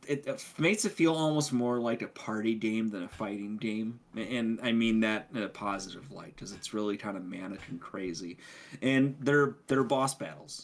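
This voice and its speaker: Low-voiced man chatting about his hobby. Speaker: podcast co-host reviewing video games. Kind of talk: casual podcast discussion.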